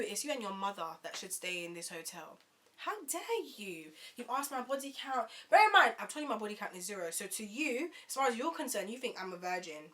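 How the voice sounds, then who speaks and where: A young woman, telling a storytime vlog in an animated, indignant way and sometimes swearing. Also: mid-range voice. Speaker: vlogger.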